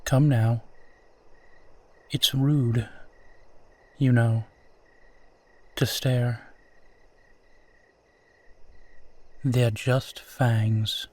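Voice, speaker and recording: Man playing a courtly, unhurried ancient vampire gentleman. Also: mid-range voice. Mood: coaxing, reassuring. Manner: formal, old-fashioned, gently teasing, unhurried. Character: suave, courtly centuries-old male vampire who looks twenty-eight. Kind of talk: roleplay audio drama addressed to the listener.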